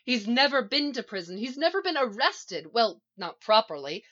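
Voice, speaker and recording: A woman acting a bossy, exasperated younger sister in a story, forceful and impatient. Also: a little high, big pitch swings, normal speed, lo-fi sound.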